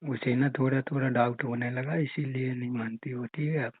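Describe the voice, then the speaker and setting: A man's voice: mid-range voice, steady tone, moderate pace, breathy edge, dry recording, natural voice. A man playing a flirtatious, possessive brother-in-law, chatting casually and teasingly on a phone call. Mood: secretive, casual. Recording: tinny narrow phone-call audio.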